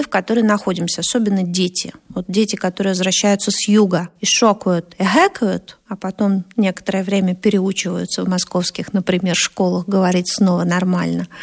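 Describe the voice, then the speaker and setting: A woman from Moscow with a Moscow Russian accent, giving a conversational radio lecture as a linguist, lively and acting out the sounds she explains. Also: medium pitch. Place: radio studio.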